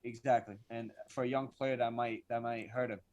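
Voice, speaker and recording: Excitable sweary podcast guy. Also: mid-range voice, normal speed, muffled recording. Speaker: podcast co-host. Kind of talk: casual sports chat.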